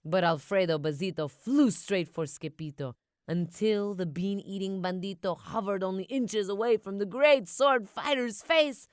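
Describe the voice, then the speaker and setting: A woman narrating a children's audiobook in an expressive storybook style. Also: medium pitch, normal speed, no echo, clean studio sound.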